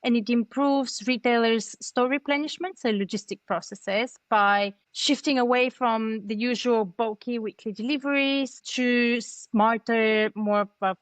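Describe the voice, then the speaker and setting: A woman's voice: on the high side, steady tone, measured pace, few pauses, clear, resonant tone, dry recording. A woman in her thirties from Bulgaria, a native Bulgarian speaker, explaining things articulately as an interview guest on a video call. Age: 30s. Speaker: interview guest, startup co-founder. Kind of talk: conversational explanation. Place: plain room at home, video call.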